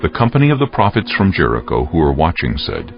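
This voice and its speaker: A man's voice: extremely low pitch, huge pitch swings, fast. A man narrating scripture as a solemn, scripted dramatized reading. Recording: muffled audio over faint music.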